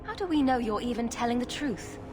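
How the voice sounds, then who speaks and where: An actress voicing a female adventurer hero, gentle and earnest, in a video game. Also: on the high side, lively intonation, fairly quick, lightly acted. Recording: game audio with reverb and music.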